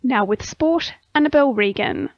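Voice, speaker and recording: A woman's voice: high voice, lively intonation, normal speed, dry recording, lo-fi sound. An Australian woman reading a scripted radio news bulletin as the station's news reader. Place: dry radio studio.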